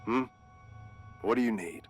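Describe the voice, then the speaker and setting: An actor playing a gruff, protective veteran security guard in a video game, with a wry, blunt, folksy manner. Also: male voice, on the low side, a little slow, soft background music, natural voice. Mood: blunt, skeptical.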